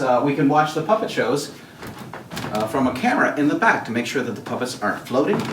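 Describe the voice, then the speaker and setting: A man gives an unscripted guided tour in a lively, swooping voice with a showman's emphasis. He repeats words for effect as he explains things. The sound is roomy and picked up from a distance. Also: medium pitch. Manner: animated, theatrical showman phrasing with emphatic repeats. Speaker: puppeteer and tour host at a puppet theater. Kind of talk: informal show-and-tell guided tour, unscripted. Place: indoors, backstage and storage rooms of a puppet theater. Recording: echoey, distant mic with background noise.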